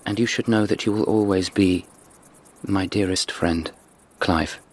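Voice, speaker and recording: A man's voice: sits low, steady tone, measured pace. An actor playing a troubled, frank young upper-class Englishman in a radio drama, with an English accent. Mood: grave, confessional.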